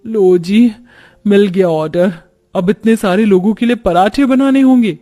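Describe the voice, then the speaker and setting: Man as a high-pitched young new bride. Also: measured pace, mellow, soft background music. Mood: weary complaining. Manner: plaintive grumbling. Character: young new bride, weary, complaining, dutiful.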